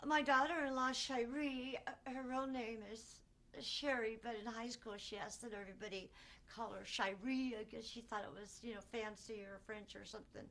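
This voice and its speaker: An actress performing a stage monologue as a distraught older grandmother who protests her innocence in halting, repetitive speech. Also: a little high, fairly even intonation, bright, forceful tone. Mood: rambling, fond. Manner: halting, stammering, repeating herself.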